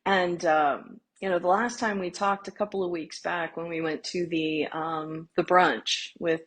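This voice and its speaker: A woman with a low, husky voice hosts a casual podcast interview. She is chatty and encouraging and keeps her guest talking. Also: fairly even intonation, measured pace, fairly bright tone. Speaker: podcast host.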